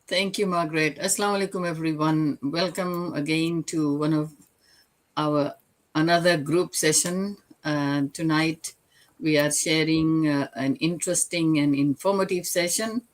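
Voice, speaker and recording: A woman in her sixties from Fiji speaks as a business mentor on an online panel. Her voice is fairly low, and her phrasing is informal and a bit halting. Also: fairly even intonation. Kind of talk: informal self-introduction in an online session.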